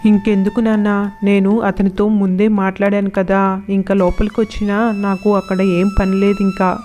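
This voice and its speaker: Woman as an adult son, dismissive, evasive, monotone, dark mellow timbre, storybook dialogue. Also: medium pitch, moderate pace, soft background music, lightly acted.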